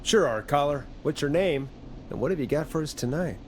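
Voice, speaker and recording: Actor as animated, wry late-night radio host. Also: male voice, a little high, fairly quick, a crowd in the background, lightly acted. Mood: smooth, wry. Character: wry, irritable late-night small-town radio talk show host.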